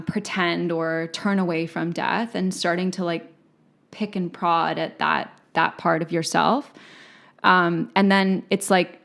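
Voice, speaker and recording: A woman from Malaysia with a slightly low voice talks as a podcast guest. She reflects slowly on her ideas, peppering her speech with "like" and "right?" in a loose, conversational interview. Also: vocal fry, a bit bright. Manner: reflective, frequent "like" and "right?" tags. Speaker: podcast guest, psychic intuitive.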